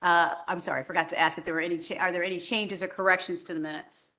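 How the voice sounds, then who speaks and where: A woman chairing a public board meeting, running motions and reading a prepared report in a steady, measured way. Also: on the low side. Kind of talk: formal meeting remarks.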